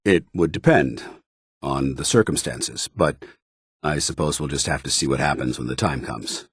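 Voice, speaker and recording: An actor playing a stern, earnest, dutiful male soldier in a video game, speaking with formal, measured military diction. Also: rumbling low, breathy edge, dry recording, studio quality, exaggerated voice. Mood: earnest, guarded. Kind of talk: video game voice acting, companion dialogue.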